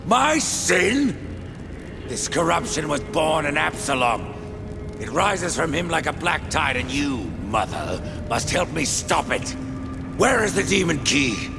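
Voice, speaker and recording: A grim horseman of the apocalypse for a fantasy video game. His gravelly voice swings in pitch and moves slowly, brooding and cynical, over loud music. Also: big pitch swings, full character voice. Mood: angry, bitter. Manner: terse, brooding, menacing. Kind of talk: dramatic video game acting.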